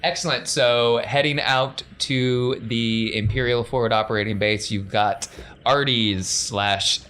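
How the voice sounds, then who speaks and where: Bright-voiced man running a tabletop game. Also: mid-range voice, fairly even intonation, a little slow, slight background noise. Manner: casual, joking. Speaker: game master. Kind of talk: improvised narration of a tabletop sci-fi game.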